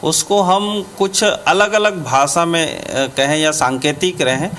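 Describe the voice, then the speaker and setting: Halting man with a higher-pitched voice. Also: a bit bright, slight background noise. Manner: halting, hesitant. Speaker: politician, former legislator. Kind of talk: unscripted press statement.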